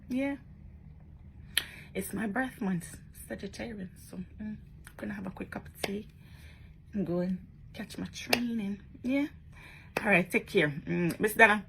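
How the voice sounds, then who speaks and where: A woman's voice: mid-range voice, vocal fry, background noise. A woman talking casually to her followers in a vlog, chatty and emphatic, often tagging on questions. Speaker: social media blogger.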